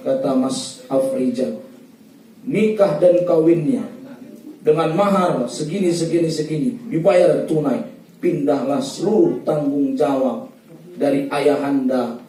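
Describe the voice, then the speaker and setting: A man from Medan giving a lively, joking wedding sermon, talking straight to the guests. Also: medium pitch. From Medan, North Sumatra. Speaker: preacher giving wedding advice. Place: wedding venue hall. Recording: echoey hall, voice from far off.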